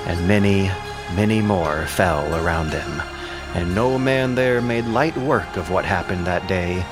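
A man with a low-pitched voice works as narrator and host of an audio production. He reads prepared, scripted text, with music clearly playing beneath him. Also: measured pace.

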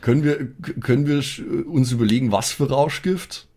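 A man chatting casually as a podcast regular, brainstorming out loud in loose, tentative phrases. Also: medium pitch, brisk.

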